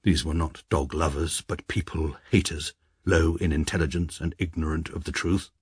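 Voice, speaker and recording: An actor playing a precise, pompous middle-aged barrister who narrates in a formal, deadpan way. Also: male voice, very deep voice, normal speed, breathy edge, no echo, clean studio sound. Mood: dry. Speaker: narrator and lead character. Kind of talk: radio drama narration.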